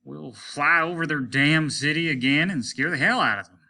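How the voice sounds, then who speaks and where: A man reading a story and voicing an irritable, weary, aging rocket captain who is commanding and scornful, snapping out indignant questions in a sharp voice. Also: fairly high pitch, moderate pace, voice pushed up. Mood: irritated. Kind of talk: audiobook character voice.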